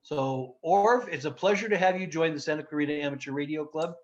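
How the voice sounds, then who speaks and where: A man speaks as a host making a semi-formal introduction. His voice sits a little high for a man. The audio is somewhat dull and lo-fi, as from a video call. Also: fairly quick, a little hiss or hum.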